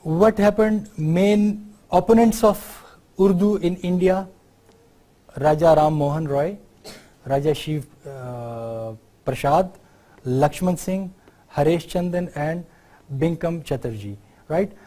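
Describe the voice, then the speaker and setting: A middle-aged man with a Pakistani accent giving an unscripted university lecture, often pausing on fillers and checking in with "right?". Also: fairly high pitch, warm tone. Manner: unscripted explaining, many fillers, tag questions like "right?".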